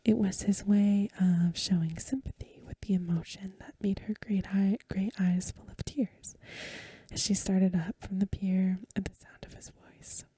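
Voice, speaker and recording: A woman reads an old-fashioned story in an ASMR style. Her voice is a very breathy, hushed whisper with a soft, dark edge. She goes gently and without hurry, close to the mic in a dry, echo-free space. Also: medium pitch, steady tone, measured pace. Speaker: ASMR reader. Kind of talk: soft-spoken ASMR reading of a Victorian story.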